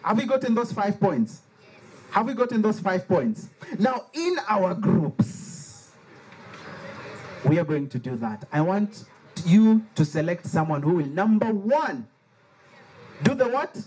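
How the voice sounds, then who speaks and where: Man, Liberian accent, slightly high voice, playful call-and-response workshop leader. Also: takes it slowly, pauses often, poor audio. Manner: animated, playful, has the audience repeat words. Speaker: workshop facilitator. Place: workshop room with a live audience.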